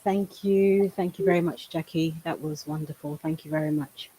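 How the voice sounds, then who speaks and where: A woman's voice: sits low, steady tone, normal speed, mellow, husky, poor audio. A woman hosting an online event, formally reading out an introduction for a guest speaker. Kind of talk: formal introduction read aloud. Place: online video call.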